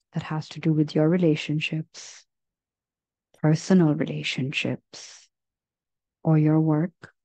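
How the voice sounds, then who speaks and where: Slow, low-voiced woman guiding meditation. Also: no echo, lo-fi sound. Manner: gentle, measured, with long pauses. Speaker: meditation guide. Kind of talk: guided meditation.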